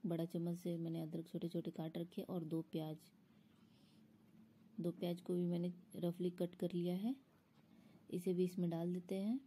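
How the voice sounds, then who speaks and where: A woman's voice: fairly low pitch, mellow, husky. A woman explaining a recipe step by step in an instructional voice-over, as a home cook. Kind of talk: step-by-step cooking tutorial voice-over.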